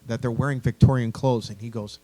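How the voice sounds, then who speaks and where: A man's voice: medium pitch, fairly even intonation, fairly quick, mellow, no room sound. A man giving casual, blunt feedback on a story as a panelist, informal and given to swearing. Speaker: podcast panelist, critic. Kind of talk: casual critique discussion.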